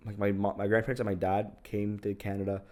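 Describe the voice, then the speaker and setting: A man's voice: on the low side, little pitch movement, fast, darkish tone. A man co-hosting a podcast in casual chat, joking around and restarting his sentences as he asks things. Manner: joking, stammers and restarts while asking. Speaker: podcast co-host.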